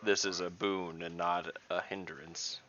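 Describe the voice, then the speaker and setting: A man's voice: low-pitched, little pitch movement, a little slow, a bit bright, muffled recording. A man chatting casually in character as a player in an online tabletop game, hesitant and thinking aloud. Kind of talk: casual in-game role-play chat. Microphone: online voice call.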